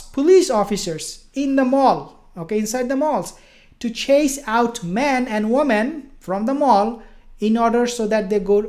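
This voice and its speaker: A woman's voice: on the high side, a little mellow. A woman giving informal religious and political commentary, speaking emphatically with repetition and rhetorical questions.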